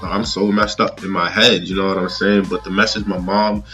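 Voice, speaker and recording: A thirty-year-old man giving a casual personal monologue to viewers, rambling and halting, often checking in with the listener. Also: deep voice, flat delivery, bright, forceful tone, faint music behind. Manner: rambling, halting, often checking in with "you know what I'm saying". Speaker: vlogger.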